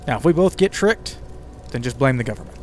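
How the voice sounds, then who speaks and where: A man's voice: mid-range voice, expressive, a little fast. A man hosting a podcast with conversational scripted narration, slipping in casual asides to the listener. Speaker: podcast host and writer. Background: ambient music bed with crackling fire sounds.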